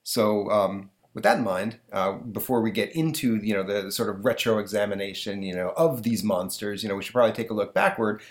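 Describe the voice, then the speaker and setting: A man hosts a casual podcast, talking in a slightly low voice. He rambles and restarts his sentences, with fillers all through. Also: brisk, hardly any pauses. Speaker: podcast host. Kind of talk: casual chat.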